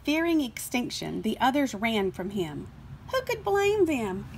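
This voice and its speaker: A woman reading a children's picture book aloud as expressive scripted narration. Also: fairly high pitch, unhurried, a little hiss or hum, low-quality recording. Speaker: storybook reader.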